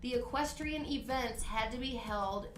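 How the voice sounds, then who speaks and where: A woman's voice: on the high side, a little slow. A woman hosting a casual live-stream trivia game, reading questions aloud and bantering with her co-host. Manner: chatty, giggly, reads questions then banters. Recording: echoey room with faint music.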